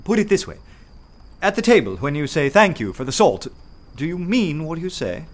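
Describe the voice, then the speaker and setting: An actor playing a witty, self-assured young poet in a radio play, courteous, dry and eloquent. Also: male voice, on the high side, very animated, moderate pace. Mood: teasing, calm. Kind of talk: full-cast radio play acting with first-person narration.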